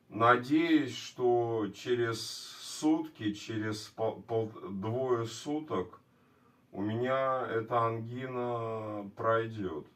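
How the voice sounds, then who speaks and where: A man vlogging casually to his viewers with a sore, scratchy throat, speaking in a halting, repetitive, plain way. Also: lowish voice, fairly bright tone. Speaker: video blogger. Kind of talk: casual vlog talk to viewers. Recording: distant microphone.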